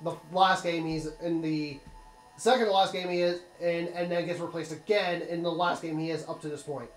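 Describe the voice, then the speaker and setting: A man's voice: on the high side, steady tone, moderate pace, echoey room, faint music behind, poor audio. A man doing casual gaming commentary, blunt and sweary, giving rambling opinions on a story. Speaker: let's play commentator.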